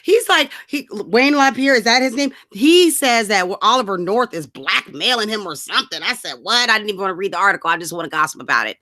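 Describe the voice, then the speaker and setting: Female co-host, bright sharp tone, sassy slangy profane gossip delivery. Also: medium pitch, animated, quick pace, hardly any pauses. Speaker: show co-host. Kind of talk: casual gossip chat, profane and joking.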